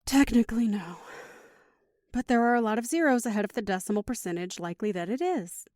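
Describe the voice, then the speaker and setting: A woman acting as an upbeat, caring mom in an audio drama. Her voice is high and closely recorded with a dry sound, and she talks in a chatty, reassuring way. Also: expressive, a little fast, darkish tone, no room sound, lightly acted. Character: caring, upbeat middle-aged mother of teenagers.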